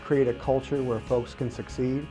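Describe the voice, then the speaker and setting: Man, police manager, mellow tone, medium pitch, explanatory interview, soft music. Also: level tone, normal speed. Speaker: police department recruiter or manager. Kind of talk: documentary interview, measured explanation. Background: background music.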